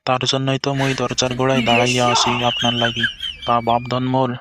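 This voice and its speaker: Man as sarcastic cartoon father, Bangladeshi regional accent, mock-sweet menace, very animated delivery over heavy noise. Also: mid-range voice, natural voice. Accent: Bangladeshi regional Bengali. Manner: mock-polite, drawn-out sarcasm. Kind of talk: comic cartoon dubbing. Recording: very noisy background with clicks.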